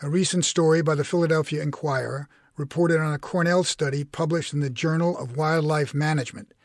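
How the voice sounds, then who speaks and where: A man's voice: on the high side, fairly even intonation, brisk, no room sound, studio quality. A man reading his own blog essay as scripted narration.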